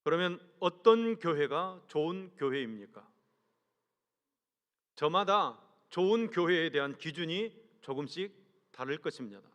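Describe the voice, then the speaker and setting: A man, a senior pastor, preaching a sermon earnestly, asking the congregation rhetorical questions and letting sentence ends fall away low. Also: animated, fairly bright tone. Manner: measured delivery, trails off low at sentence ends. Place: large church sanctuary. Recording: long reverb from a church hall.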